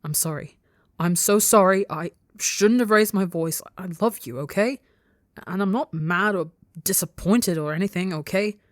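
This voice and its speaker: A woman's voice: lowish voice, a little slow, no room sound, lightly acted. A hot-tempered young man full of guilt, acted by a woman in a dramatic storybook reading. The speech stammers and restarts with raw urgency. The recording is close and dry. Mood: distressed, pleading. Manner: stammering, broken restarts, swearing. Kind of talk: dramatic audiobook-style character dialogue.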